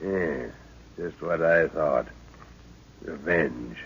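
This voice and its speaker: An actor playing a thoughtful middle-aged professor who leads an expedition, speaking in a measured, hesitant way in a 1930s radio drama. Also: male voice, very deep voice, breathy edge, full character voice. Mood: calm, resolute. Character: scholarly middle-aged male professor, calm leader. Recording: dull lo-fi old radio recording.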